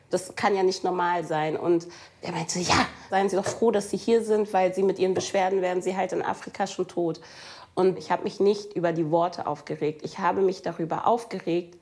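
A woman's voice: on the low side, darkish tone. Emphatic woman telling her own story. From Germany. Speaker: interviewee, local politician. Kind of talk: personal account in an interview.

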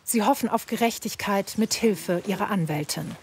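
A woman's voice: medium pitch, moderate pace, darkish tone, slight background noise. A woman reporter reading scripted narration for a documentary news report.

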